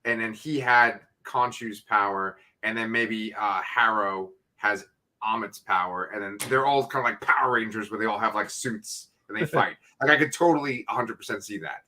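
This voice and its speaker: Middle-aged man, bright tense voice, excitable, casual swearing, co-host. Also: lowish voice, normal speed, rough recording. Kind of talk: casual chat.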